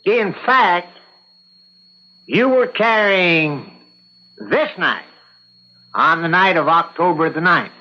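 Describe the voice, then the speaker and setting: Slow, high-voiced, probing male attorney. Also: expressive, bright, forceful tone, exaggerated voice. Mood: pointed, skeptical. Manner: formal, deliberate, probing. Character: methodical male courtroom attorney, pointed and persistent questioner. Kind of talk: old-time radio courtroom drama acting. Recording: dull lo-fi vintage radio recording.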